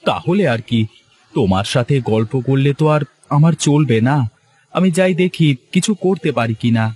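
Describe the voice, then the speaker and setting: A man's voice: on the high side, animated, normal speed, darkish tone, close to an everyday voice. A man playing a plaintive, luckless fisherman. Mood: defensive. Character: poor, unlucky, impatient fisherman husband, self-pitying. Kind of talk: Bengali cartoon story voice acting.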